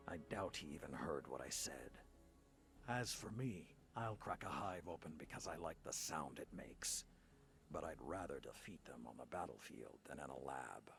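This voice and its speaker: A hardened old warlord for a video game, with an extremely deep, gravel-edged voice. He speaks slowly and bluntly, with stern gravity, over prominent music. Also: full character voice. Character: stern, hardened old male warrior lord and commander. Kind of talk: dramatic video game acting. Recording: loud music behind the voice.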